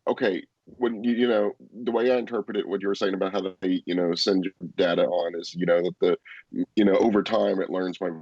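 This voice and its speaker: A man's voice: low voice, fairly even intonation, brisk, darkish tone, slightly rough, no room sound, lo-fi sound. A man with a Texan accent chatting casually and ramblingly on a tech podcast. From Texas. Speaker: podcast panelist.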